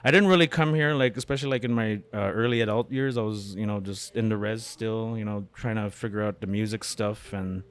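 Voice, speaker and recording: A middle-aged man from Canada talks as a podcast guest in a cafe, his voice sitting low. He rambles, restarting sentences and padding them with fillers. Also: fairly quick, hardly any pauses, clear, resonant tone. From Canada, born in Winnipeg. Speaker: guest, comedian and beatmaker. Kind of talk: casual interview conversation.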